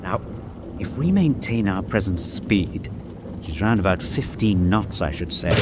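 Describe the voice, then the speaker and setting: Actor as low-voiced, level-headed naval man. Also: lively intonation, heavy background noise. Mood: urgent, cautioning. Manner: brisk, reasoning, colloquial British. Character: confident British naval man, practical, level-headed leader. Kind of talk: radio war drama acting.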